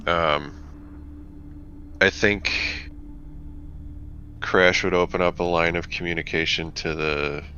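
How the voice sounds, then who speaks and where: A man with a very low voice takes his turn in a tabletop roleplaying game. He speaks slowly and tentatively, thinking as he describes his character's moves, on a dull, slightly noisy mic. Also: steady tone, bright, forceful tone. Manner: halting, thoughtful, tentative. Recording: dull microphone with faint background noise.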